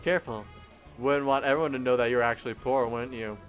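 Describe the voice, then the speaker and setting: A man reading the lines of a sharp-tongued young woman working a cafe counter, in a dry, cutting tone. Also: medium pitch, fairly even intonation, quick pace, faint music behind, close to an everyday voice. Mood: curt.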